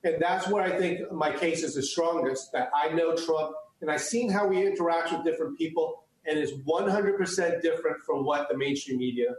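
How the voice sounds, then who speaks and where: Male interview guest, native Chinese speaker, earnest with frequent restarts, slightly high voice, very echoey room. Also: normal speed, few pauses. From United States. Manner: earnest, many restarts and fillers. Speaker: guest, photographer. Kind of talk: interview answers.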